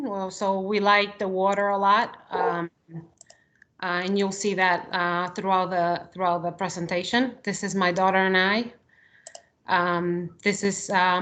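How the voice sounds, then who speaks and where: A woman's voice: medium pitch, a bit bright. Chatty Argentinian woman on a video call. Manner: chatty, frequent ums, giggly. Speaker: employee presenting to coworkers. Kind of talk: casual video call presentation.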